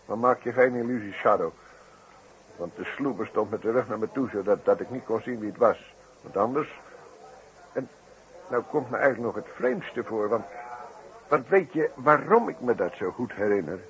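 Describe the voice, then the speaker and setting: A man's voice: mid-range voice, lively intonation, close to an everyday voice. Actor as flustered, droll man on muffled radio. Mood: earnest, puzzled. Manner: halting, repeats words, florid exaggeration. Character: flustered, droll male eyewitness recounting his mishap. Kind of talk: 1960s Dutch radio play acting. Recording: muffled, noisy vintage radio recording.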